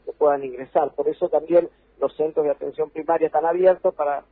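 Husky Argentine man on a phone line. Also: on the high side, steady tone, a little fast, dry recording. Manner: explanatory, insistent, repeats for emphasis. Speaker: provincial health official. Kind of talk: phone interview on radio. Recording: dry, tinny phone line.

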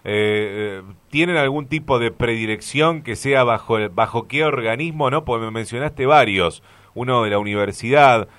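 A man's voice: medium pitch, moderate pace, fairly bright tone, no room sound. A man with an Argentine Spanish accent hosting a radio show and asking a caller questions in a friendly, conversational way. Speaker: radio host. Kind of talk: radio interview. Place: radio studio.

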